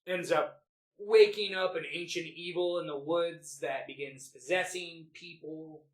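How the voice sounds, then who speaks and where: A man's voice: on the high side, steady tone, measured pace. A man co-hosting a movie podcast, chatting casually and enthusiastically in a rambling, sweary way. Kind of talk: casual chat about favorite films. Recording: roomy, echoey home podcast recording.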